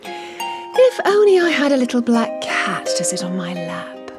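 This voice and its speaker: A woman's voice: fairly high pitch, very animated, measured pace, loud music behind the voice. A woman voicing a frail, lonely old lady who sighs and frets as she talks, in a told storybook tale. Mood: lonely fretful. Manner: quavering, sighing "dearie me" lament.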